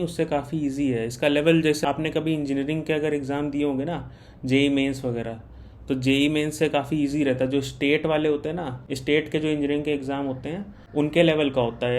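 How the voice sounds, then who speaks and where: Higher-pitched Indian man, noisy recording. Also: a little mellow. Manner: reassuring, matter-of-fact. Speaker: exam guidance host. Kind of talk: informal Q&A, reading out questions and answering. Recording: clear background noise.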